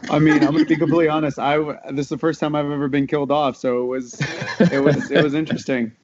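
A man's voice: a little high, level tone, quick pace, darkish tone. A man talking earnestly and reflectively in a casual panel discussion as a member of an audio drama cast. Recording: dull lo-fi sound.

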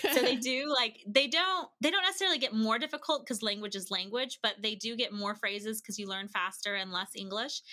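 A woman's voice: on the high side, sharp tone. A woman chatting informally as an interview guest, playful and easily amused. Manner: playful, informal, breaks into laughter. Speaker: podcast guest, language-learning business representative. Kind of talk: casual interview chat.

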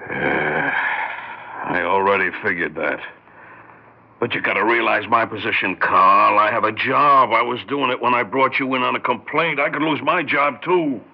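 An actor playing a gruff but soft-hearted working cop in a 1960s radio drama, with an American accent and a plain-spoken, hesitant manner. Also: male voice, on the low side, moderate pace. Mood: reluctant. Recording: muffled old 1960s radio recording.

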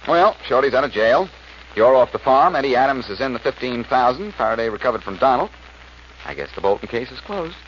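An actor playing a confident, wisecracking amateur detective in 1940s radio drama style, with a brisk, wry, teasing manner. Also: male voice, mid-range voice, animated, a bit bright, close to an everyday voice. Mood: matter-of-fact. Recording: muffled, noisy old radio recording.

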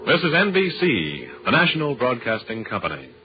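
A man's voice: medium pitch, wildly swooping pitch, a little fast. An American man announcing in a 1940s broadcast style. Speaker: radio announcer. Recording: muffled vintage radio broadcast.

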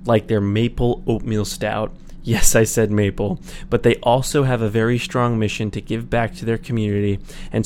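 A man's voice: lowish voice, a little mellow. A man delivering a scripted sponsor read for a podcast. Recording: faint background ambient noise.

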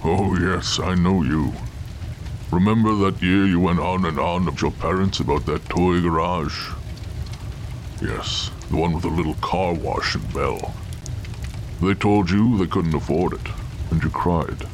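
A man playing a bitter, weary, centuries-old Santa Claus with a slow, taunting delivery in an audio drama. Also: low-pitched, slight background noise. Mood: knowing, menacing. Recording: music and rain under the voice.

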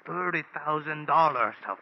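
An actor playing a gleeful, mockingly polite Mexican bandit chief in 1940s radio style, taunting and menacing, with a Mexican accent. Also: male voice, fairly high pitch, gravelly. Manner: taunting, slow, theatrical laughing villain. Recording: dull, noisy 1940s radio recording.

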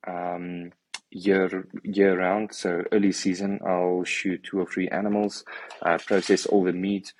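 South African man, deep even voice, halting delivery with many fillers, dry close sound; casual guest chat. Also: no echo. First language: Afrikaans. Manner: hesitant, many ums and uhs. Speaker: guest hunter. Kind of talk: casual conversation.

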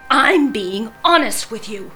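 An actress in an audio drama playing a bitter, vengeful, betrayed woman, with a deliberate, biting and theatrical delivery. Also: on the high side, lively intonation, sharp tone, faint music behind, heavily acted. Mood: cold menace.

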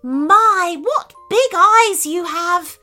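A woman voicing a polite little girl in a children's story, exclaiming clearly. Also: very high-pitched, extremely slow, bright, forceful tone, faint music behind, voice pushed up, heavily acted. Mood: cheerful wonder.

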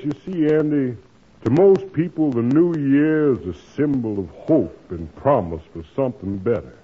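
An actor playing a kindly, earnest preacher who gives moral counsel in a measured, sermon-like way, in 1940s radio drama style. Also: male voice, mid-range voice, dark tone, slightly rough. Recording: tinny old radio broadcast.

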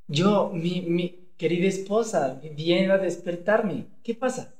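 A man's voice: high-pitched, slow, darkish tone, echoey room. A man playing a cowardly, boastful henpecked husband in a comedic radio play, hesitant one moment and blustering the next. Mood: startled, timid. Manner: hesitant stammering, then blustering.

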